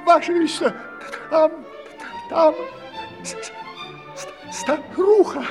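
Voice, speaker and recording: Timid, obsequious male courtier, high swooping voice, stammering and fawning, fairy-tale audio play over music. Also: expressive, takes it slowly, exaggerated voice. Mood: frightened.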